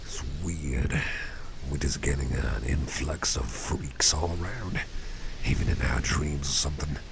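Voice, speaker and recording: A man playing a caring, rambling boss in a gentle ASMR roleplay, speaking in a breathy, gravelly whisper with folksy wording. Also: extremely low pitch, measured pace, very noisy, heavily acted. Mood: musing, puzzled. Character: caring, rambling, soothing male boss at home.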